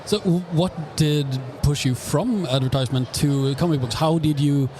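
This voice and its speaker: A man's voice: on the high side, moderate pace, background noise. A man from Sweden, a podcast host asking short, hesitant questions in an interview. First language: Swedish. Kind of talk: interviewing in English.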